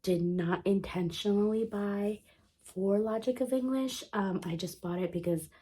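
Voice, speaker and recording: Congested homeschool mom, throaty and sick. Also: female voice, medium pitch, measured pace, warm tone. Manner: chatty, enthusiastic, many ums and restarts. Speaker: homeschool vlogger. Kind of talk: casual show-and-tell vlog.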